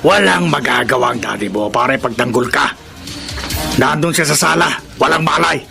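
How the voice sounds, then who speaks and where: A gravelly, menacing burglar. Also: male voice, mid-range voice, moderate pace. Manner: gruff, taunting, threatening. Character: ruthless male burglar, brash and menacing. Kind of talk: Filipino radio drama acting. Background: background music.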